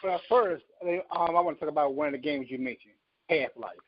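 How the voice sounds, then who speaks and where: A man with a creaky voice giving emphatic opinions in a casual panel chat. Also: a little high, fast, no echo. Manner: emphatic, insistent, argues with examples. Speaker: podcast panelist. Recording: slightly dull, lo-fi audio.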